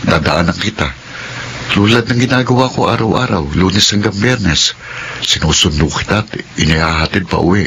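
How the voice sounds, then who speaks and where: A man's voice: deep voice, normal speed, vocal fry, a bit bright, slight background noise. An actor playing an attentive, devoted young working man in love in a Filipino radio drama. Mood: tender, earnest.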